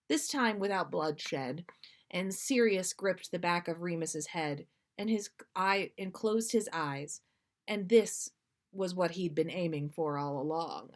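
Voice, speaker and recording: A woman reading fiction aloud as a storyteller and podcast host. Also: on the low side.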